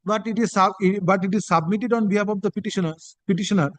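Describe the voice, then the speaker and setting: A man with a Bengali Indian accent speaking as a judge, dictating a court order in halting, deliberate phrases and calling out punctuation. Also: sits high, fairly even intonation, no room sound.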